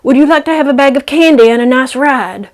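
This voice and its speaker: A woman's voice: sits high, brisk, pitched above the performer's own voice, natural voice. A woman voicing a battered, folksy male small-time kidnapper in a dramatic story reading. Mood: coaxing.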